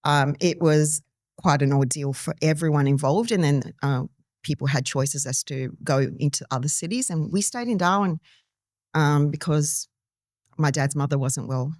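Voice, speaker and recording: Podcast guest: a woman in her fifties from Timor-Leste, low voice, earnest and personal, close dry sound. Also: normal speed, darkish tone, no echo. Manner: earnest, personal, with many restarts and ums. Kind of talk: conversational interview storytelling.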